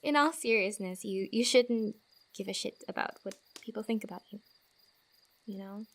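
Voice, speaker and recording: A woman playing a friendly, teasing fantasy wanderer who gives comfort in a soft-spoken, giggly, rambling ASMR roleplay. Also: medium pitch, normal speed, lightly acted. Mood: playful, teasing.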